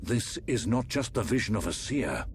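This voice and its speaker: A low, grave voice of an aging fantasy ruler, acted by a man for a video game. He speaks formally and with measured weight, like a monarch addressing a guest. There is audible noise behind him. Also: animated, close to an everyday voice. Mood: solemn. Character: solemn aging emperor, stately fantasy ruler and father. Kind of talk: dramatic video game voice acting.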